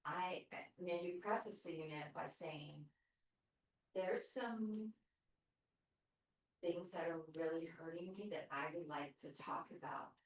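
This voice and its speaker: A woman with a lower voice shares her thoughts slowly in a group discussion, pausing and restarting as she works things out. She is heard from across a very echoey room. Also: recorded from a distance. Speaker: group participant.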